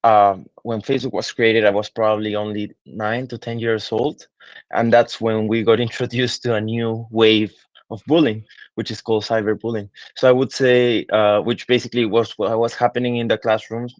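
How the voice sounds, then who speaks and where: A man's voice: fairly low pitch, level tone, normal speed, no room sound, highs cut off. A man in his twenties from Peru, a digital marketing consultant answering interview questions thoughtfully, with many fillers and restarts. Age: 20s.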